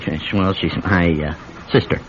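An actor playing a sly, folksy small-town police chief in a radio drama, with a hesitant, insinuating way of talking. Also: male voice, low voice, steady tone, normal speed, lightly acted. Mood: sly, coaxing. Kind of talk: classic radio drama acting. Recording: dull, slightly noisy radio recording.

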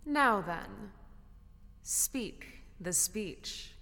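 A woman's voice: medium pitch, animated, very slow, creaky, bright, forceful tone, in a large hall, exaggerated voice. A woman playing the playful, encouraging ghost of a drama-school actress, theatrical and airy as she coaches. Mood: encouraging, coaxing.

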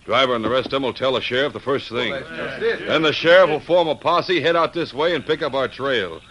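An actor playing a bold, stubborn outlaw gang leader in an old-time radio western, speaking with decisive command. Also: male voice, medium pitch, brisk, close to an everyday voice. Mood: commanding, determined. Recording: vintage radio recording, crowd-like background noise.

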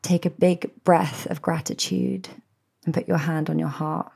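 Woman, 30s, podcast host, mellow calm voice with some gravel. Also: sits low, measured pace. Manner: gentle, soothing, heartfelt. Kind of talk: reflective solo podcast address.

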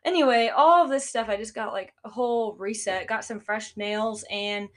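A woman's voice: fairly high pitch, moderate pace. A young woman hosting her own podcast, talking casually about running a business, with a stuffy, nasal sound and lots of "like" and "um" fillers. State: congested, nasal. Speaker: podcast host, business owner. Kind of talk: casual solo podcast talk.

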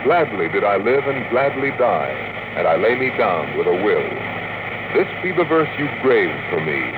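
A man's voice: on the low side, very animated, normal speed, very noisy. Dramatic male announcer, tinny old radio. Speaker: announcer and narrator. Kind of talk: dramatic scripted narration, 1950s radio.

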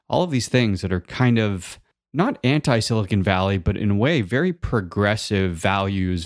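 Low-voiced man asking long questions. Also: no echo, clean studio sound. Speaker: interviewer. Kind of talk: interview questions.

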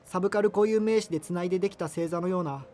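An actor playing an awkward, apologetic young subculture nerd in a radio drama, with a hesitant, earnest, wordy way of talking. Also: male voice, high voice, very fast, mellow, soft background music, natural voice. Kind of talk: naturalistic radio drama dialogue and monologue.

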